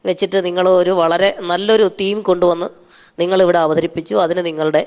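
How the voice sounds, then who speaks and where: A man gives unscripted feedback as a comedy show judge, often halting to search for words. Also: sits high, little pitch movement, darkish tone.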